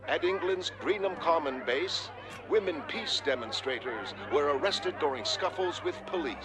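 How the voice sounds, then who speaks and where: Male newsreader, played by an actor for animated drama: slightly high voice, earnest, measured, authoritative, prominent music underneath. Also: lightly acted. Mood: formal, serious. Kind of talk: scripted news bulletin delivery. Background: loud music.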